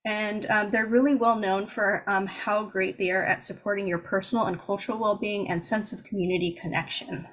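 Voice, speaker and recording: A woman presenting slides in a webinar, informative but halting, with lots of "um"s between phrases, over a video call. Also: medium pitch, fairly even intonation, fairly bright tone, rough recording. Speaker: presenter for the organizing fund.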